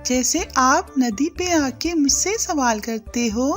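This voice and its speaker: A woman's voice: sits high, animated, takes it slowly, soft background music. A woman voicing a loving, anxious mother bird in a children's cartoon, with a motherly way of talking. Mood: tender.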